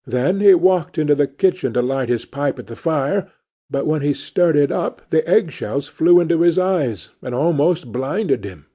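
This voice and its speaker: Male narrator for children's fairy tale audiobook, dark mellow tone, scripted read. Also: on the high side, lively intonation, measured pace. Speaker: audiobook reader.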